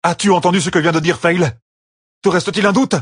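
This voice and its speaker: A man's voice: high-pitched, steady tone, a little fast, slightly rough, no room sound, clean studio sound, pitched above the performer's own voice. A man voicing a protective, hot-tempered young village lord in an audiobook, blunt and grumbling. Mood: angry.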